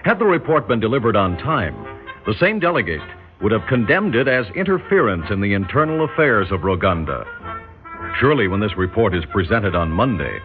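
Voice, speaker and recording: An ominous, ironic storyteller delivers portentous radio narration. His low voice swings expressively over loud music, through a tinny vintage recording. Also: sing-song, brisk. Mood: ominous irony. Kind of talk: dramatic old radio narration.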